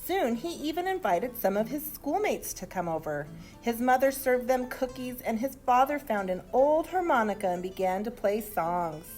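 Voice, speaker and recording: A woman reads a children's storybook in an expressive, deliberate storytelling manner. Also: a little high, faint music behind. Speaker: storybook reader. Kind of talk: animated children's story narration.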